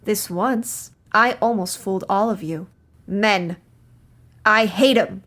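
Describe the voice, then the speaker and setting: A woman's voice: mid-range voice, slow, a bit bright. Woman as scheming radio noir moll. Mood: bitter, defiant. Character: scheming showgirl moll, feigned innocence, bitter man-hater. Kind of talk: 1940s radio noir acting.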